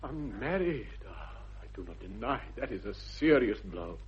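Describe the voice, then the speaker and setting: An actor playing an earnest, excitable upper-class English gentleman in a radio comedy, theatrical and formal in his phrasing. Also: lowish voice, normal speed. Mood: emotional, noble. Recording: dull old radio recording.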